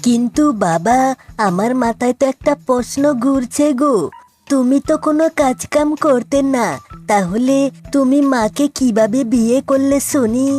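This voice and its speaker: A woman's voice: sits high, normal speed, darkish tone. A woman voicing a cheeky, aggrieved young son in a cartoon, whiny and exclamatory. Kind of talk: cartoon dialogue dubbing. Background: music.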